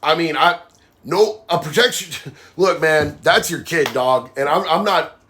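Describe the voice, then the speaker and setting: A man's voice: a little high, a little slow, pushed and bright. Nasal, heated male livestream host. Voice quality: nasal from a sinus infection. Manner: blunt, heated, says bro a lot. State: sinus infection. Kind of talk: casual live chat reading comments.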